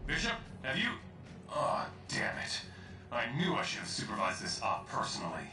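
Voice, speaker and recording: A man's voice: mid-range voice, huge pitch swings, moderate pace, sharp tone, slight background noise, full character voice. A man playing a cocky, exasperated armored hero threatening rebels in a video game cutscene. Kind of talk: video game villain-style confrontation acting. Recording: echoey, distant, music behind.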